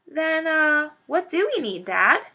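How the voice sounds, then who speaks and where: Lady doing a little boy fox, really high and swoopy, wide-eyed and eager, storybook voice. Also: sing-song, slow, pushed and bright, highs cut off, exaggerated voice. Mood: puzzled. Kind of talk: children's storybook character reading.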